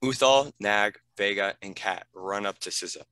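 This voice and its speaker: Low, bright-voiced male narrator. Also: normal speed, clean tone, no echo, poor audio. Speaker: narrator reading stage directions. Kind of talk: scripted narration.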